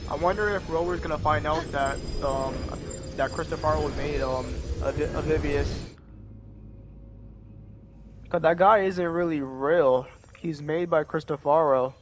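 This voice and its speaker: A man's voice: a little high, measured pace, background noise. A man giving casual let's-play commentary as a game streamer, musing and thinking aloud. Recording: game music behind the voice. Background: game music.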